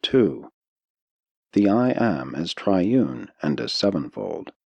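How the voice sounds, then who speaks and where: A man narrating a spiritual audiobook in a solemn, measured way. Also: low-pitched, very animated, vocal fry, darkish tone, breathy edge, dry recording, muffled recording. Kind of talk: scripted narration of a spiritual text.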